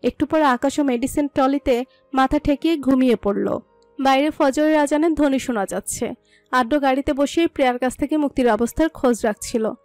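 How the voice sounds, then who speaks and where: Female narrator, high-pitched, scripted romantic story, dry close sound, music bed. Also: dry recording. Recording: dry voice over soft music.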